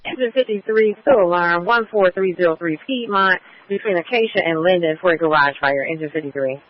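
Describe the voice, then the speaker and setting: A man's voice: high voice, a little fast, no room sound. A man working as a fire department radio dispatcher, reading back each unit's call in clipped, formal radio phrasing. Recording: muffled radio transmission.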